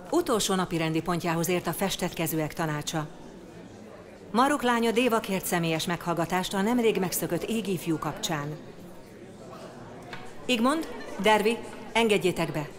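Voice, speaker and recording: Woman acting a low-voiced, stern council speaker. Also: long pauses, a crowd in the background, lightly acted. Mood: formal. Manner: formal, commanding. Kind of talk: audio drama acting.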